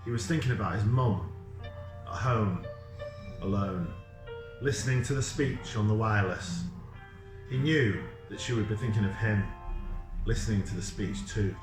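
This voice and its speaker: A man reads a children's story in measured, dramatic short phrases. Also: on the low side, over music. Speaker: author reading from a children's novel. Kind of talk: storybook narration. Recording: echoey room sound.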